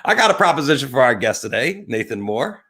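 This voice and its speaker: A man with a New Jersey accent hosting a live webcast, improvising a rambling, tongue-in-cheek intro in an animated, scattered, stop-and-start way. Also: medium pitch, brisk.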